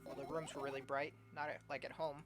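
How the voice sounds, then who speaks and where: A woman's voice: very deep voice, flat delivery, fast. A woman voicing an anxious, defensive, easily scared schoolgirl in a dramatic visual novel reading. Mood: reluctant. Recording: loud music behind the voice.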